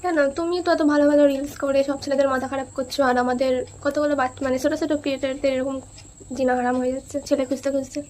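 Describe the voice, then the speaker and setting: A young woman talks extremely fast in a high voice. She is teasing and insistent, like a prank caller pushing a casual chat along. There is clear background noise on the recording. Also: fairly even intonation, a little mellow. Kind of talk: casual prank phone chat with asides to viewers.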